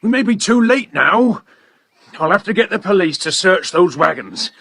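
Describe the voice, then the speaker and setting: An actor playing a gruff, commanding farmer with a rough gravelly voice, in an audiobook play. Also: male voice, sits high, big pitch swings, normal speed, a bit bright, no room sound, exaggerated voice. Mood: grave, worried. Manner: commanding, interrogating, emphatic. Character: gruff, forceful farmer secretly working with the police.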